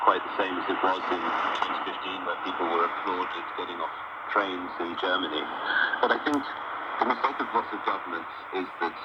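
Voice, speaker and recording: A man's voice: low voice, dull audio. A man speaking as a guest in a radio panel discussion, articulate and building his argument with rhetorical questions. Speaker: panel guest, migration commentator. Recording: shortwave radio, heavy static noise. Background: loud shortwave static.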